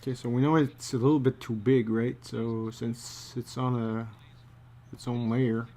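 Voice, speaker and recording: Slow, gravelly man talking while drawing. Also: medium pitch, flat delivery, dark tone. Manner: muttering to himself in short, halting phrases. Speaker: storyboard artist giving a drawing demonstration. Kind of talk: casual think-aloud commentary while drawing. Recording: faint background noise.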